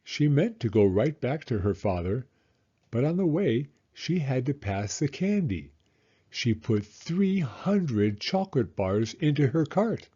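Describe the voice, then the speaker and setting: A man reading a children's story aloud in an animated, deliberate narrating style. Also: mid-range voice, breathy edge, muffled recording. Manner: expressive, deliberate, drawn-out phrasing.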